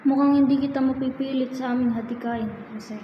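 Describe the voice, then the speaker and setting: A woman's voice: high-pitched, steady tone, a little fast, very noisy, lightly acted. A woman playing a solemn, devoted figure in an amateur historical radio drama, with formal, declaimed delivery. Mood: earnest, urging. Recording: muffled, echoey reverberant hall, music behind.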